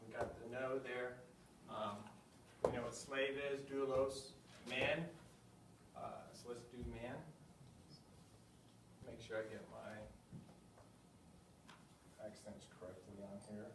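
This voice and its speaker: A man's voice: mid-range voice, fairly even intonation. A man leads a classroom lesson, thinking aloud as he works through each example. He sounds far from the camera, in a reverberant room with a faint background hum. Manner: thinking aloud, slow deliberate spelling-out of words. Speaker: teacher. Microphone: camera at a distance.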